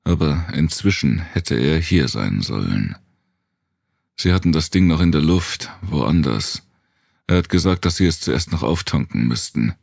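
Man acting guarded male wanderer, weary, low-key, very deep gravelly voice, audiobook thriller. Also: lively intonation, a little slow, a little mellow, dry recording, studio quality, heavily acted. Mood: uncertain, explaining.

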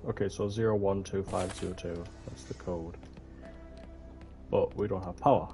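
Young male streamer, slightly ill, low and warm, headset, muttering playthrough commentary. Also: steady tone, moderate pace, soft background music. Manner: reads in-game notes aloud, muttering asides. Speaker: gaming streamer. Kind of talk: horror game let's play commentary. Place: indoor room at a desk.

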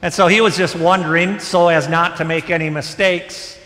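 Man, middle-aged, pastor, animated sermon, bright voice, echoing sanctuary. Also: fairly high pitch, moderate pace. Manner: animated preaching with wide gestures, moving about behind the pulpit. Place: large church sanctuary. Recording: long church reverb.

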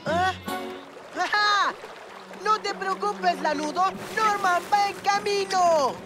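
A woman's voice: very high voice, slow. A woman voicing an impulsive, mischievous young boy in a Latin American Spanish cartoon dub, whiny and full of exclamations. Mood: eager. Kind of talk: animated children's cartoon dub. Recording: loud music behind the voice.

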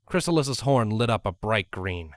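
A man's voice: lowish voice, expressive, moderate pace, dry recording, studio quality. A man reading scripted story narration as an audiobook narrator.

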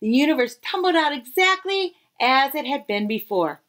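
A woman in her thirties reading a children's picture book aloud expressively, with dramatic pauses and emphasis. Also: high voice, animated, a bit bright. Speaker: read-aloud storyteller.

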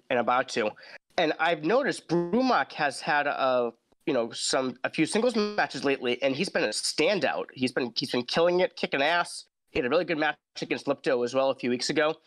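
A man's voice: medium pitch, a bit bright. A man co-hosting a podcast over a video call, playful and cocky, with a slightly scratchy voice. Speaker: podcast co-host.

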